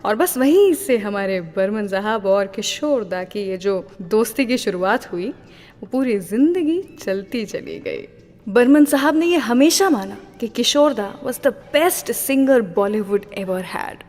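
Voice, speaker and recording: A woman's voice: fairly high pitch, animated, slow, a little mellow, in a large hall. A woman hosting a radio show, telling a story between songs in a chatty, teasing way. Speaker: radio host.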